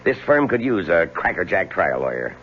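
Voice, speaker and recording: An actor playing a genial older law firm boss in a 1970s radio drama. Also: male voice, deep voice, sing-song, normal speed. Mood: affable. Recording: dull vintage radio with faint hum.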